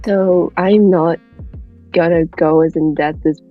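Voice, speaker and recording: A woman's voice: fairly low pitch, steady tone, takes it slowly, faint music behind. A woman guest in casual sports chat, blunt and informal, on dull lo-fi audio. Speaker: guest panelist.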